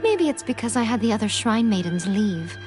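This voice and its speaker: A cunning, self-assured shrine maiden from a video game, played by a woman, speaking with coy, knowing playfulness. Her voice has an average pitch for a woman, with music behind it. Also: animated, normal speed, a little mellow, close to an everyday voice. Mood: airy, knowing. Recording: music under the voice.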